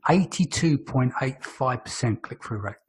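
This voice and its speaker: Husky-voiced male podcast host. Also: medium pitch, expressive, moderate pace. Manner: short agreeing interjections and prompting questions. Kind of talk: casual podcast chat.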